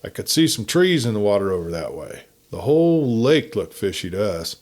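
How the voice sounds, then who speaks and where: A man's voice: on the low side, big pitch swings, normal speed, a little mellow. A man reading a folksy first-person story in a campfire-tale narration style.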